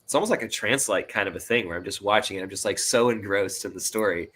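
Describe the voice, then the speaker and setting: A man's voice: lowish voice, fast talker, a bit bright, a little hiss or hum. A man chatting casually as an interview guest, hesitantly searching for his words. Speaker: interview guest, indie writer and sound designer. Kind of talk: casual chat.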